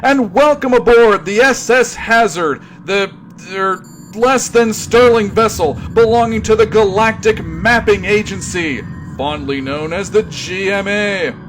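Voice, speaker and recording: A man's voice: high voice, measured pace, noisy background. A man playing a droll, arch narrator of a sci-fi comedy radio drama, with theatrical hesitations. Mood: droll, wry. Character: wry, hesitant storybook-style male narrator.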